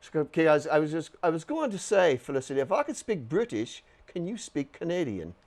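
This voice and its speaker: A woman with a very low voice hosts a chat show in a TV studio. Her pitch swings widely while she gropes through her questions, restarting often. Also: big pitch swings, darkish tone. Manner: rambling, halting, many restarts. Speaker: talk show host. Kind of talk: casual interview chat. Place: local TV studio.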